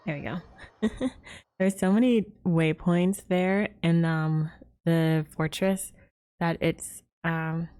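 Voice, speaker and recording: A woman's voice: lowish voice, fairly even intonation, slow, mellow. A woman livestreamer chatting casually and a little hesitantly to viewers in an easygoing way. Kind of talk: casual gaming stream chat.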